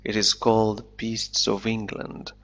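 A man teaching English, reading a novel aloud slowly and deliberately and explaining it line by line, an Arabic speaker. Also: fairly low pitch, completely flat delivery, fairly bright tone. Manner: slow deliberate reading, then quick explanations. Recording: dull lo-fi recording.

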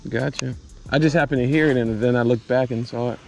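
A man's voice: medium pitch, fairly even intonation, fast talker, creaky, darkish tone, soft background music. A man casually narrating and chatting as a fishing vlogger, recorded outdoors. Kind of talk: casual vlog narration and chat. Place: outdoors on a kayak on a lake.

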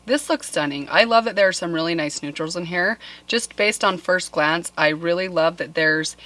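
A woman in her thirties with a cold, chatting enthusiastically as she reviews makeup to camera, dropping to soft murmurs when she reacts. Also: fairly low pitch, moderate pace. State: has a cold, voice deeper than usual. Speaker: beauty vlogger. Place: small indoor filming set with plants.

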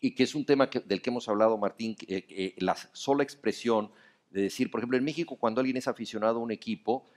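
A man's voice: mid-range voice, fast, darkish tone. A middle-aged Mexican man on a theater stage, telling long, flowing anecdotes in an onstage conversation. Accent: Mexican Spanish. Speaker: festival panelist, writer. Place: dark theater stage, seated in armchairs.

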